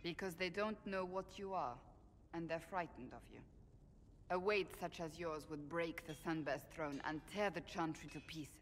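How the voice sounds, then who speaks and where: An actress playing a stern, devout warrior woman in a video game, speaking in a formal, deliberate and solemn way. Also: lowish voice, lightly acted. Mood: measured, grave. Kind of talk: scripted video game dialogue.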